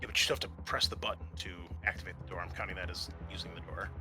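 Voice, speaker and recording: A man's voice: sits low, steady tone, very fast, music in the background. A man acting as game master for a tabletop roleplaying game, explaining turns and narrating in a conversational, improvised way.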